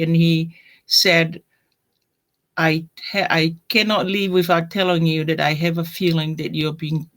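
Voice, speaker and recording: An older woman from Tonga with a Tongan accent, telling her personal story in an interview in a reflective, halting way. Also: lowish voice. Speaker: deacon, interview guest.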